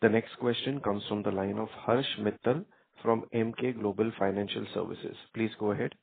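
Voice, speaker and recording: A man's voice: on the low side, fairly even intonation, moderate pace, gravelly, slightly rough. A man working as conference operator, reading formal scripted announcements. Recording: muffled narrowband phone line.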